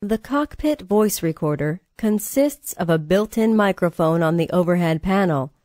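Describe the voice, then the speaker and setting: Female narrator for technical e-learning: lowish pitch, formal scripted read, dry studio sound. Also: animated, a little mellow, dry recording. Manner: measured, formal, reading from a script. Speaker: training course narrator.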